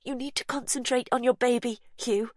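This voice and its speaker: A woman's voice: fairly high pitch, steady tone, moderate pace, darkish tone, no room sound, clean studio sound, pitched above the performer's own voice, natural voice. A woman voicing a blunt young woman giving urgent, clipped orders. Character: tense young woman, blunt and trying to stay calm.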